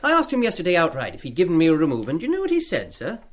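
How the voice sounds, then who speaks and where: Radio play schoolboy, mid-teens, British: lightish high voice, very lively intonation, eager, polite, indiscreet. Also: male voice, rapid-fire. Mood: indignant, confiding. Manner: chatty, deferential with schoolboy slang.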